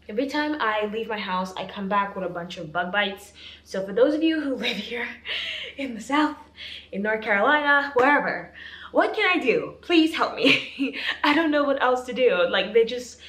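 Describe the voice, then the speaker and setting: A young woman in her twenties chatting casually to camera in a vlog, animated and laughing often. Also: fairly high pitch, reverberant. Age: 20s. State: hoarse, losing her voice. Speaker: vlogger, law student. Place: apartment bedroom, indoors.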